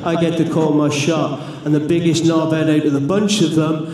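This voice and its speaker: A man with a South Welsh accent from South Wales, cutting a fiery wrestling promo to a live crowd. His speech builds to furious shouting. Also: level tone, moderate pace. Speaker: pro wrestler. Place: large arena with a live crowd. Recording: booming echo from a big hall, crowd noise.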